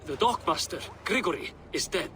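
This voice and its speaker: An actor playing a composed, watchful council member in a video game, with a formal, guarded manner. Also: male voice, high-pitched, animated, normal speed, a bit bright. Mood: concerned. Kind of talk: dramatic video game acting. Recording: crowd noise behind the voice.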